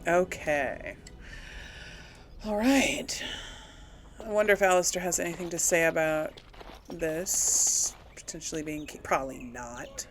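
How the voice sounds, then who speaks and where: A woman's voice: on the low side, measured pace, long pauses, a little hiss or hum. A woman hosting a casual let's play, musing aloud informally and hesitantly as she talks and role-plays through a game. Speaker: gaming video host.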